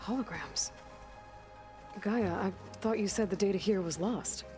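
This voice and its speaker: A woman playing a guarded, earnest young adventurer in a video game, low-key and terse. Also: a little slow, mellow, close to an everyday voice. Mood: curious, curt. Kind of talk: video game dialogue acting. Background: game music.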